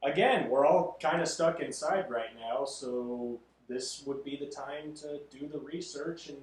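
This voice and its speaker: A man's voice: on the high side, measured pace, slight background noise. A man hosting a podcast, chatting casually and giving encouraging advice. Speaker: podcast host. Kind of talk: casual interview chat. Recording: roomy echo, mic at a distance.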